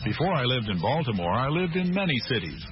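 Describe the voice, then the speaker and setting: Male actor as amiable news reporter, American accent, animated intonation, simple patient phrasing, slightly dull recording. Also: mid-range voice, fast talker, a crowd in the background, close to an everyday voice. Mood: friendly, inquiring. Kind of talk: scripted language-lesson drama. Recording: muffled lo-fi lesson audio.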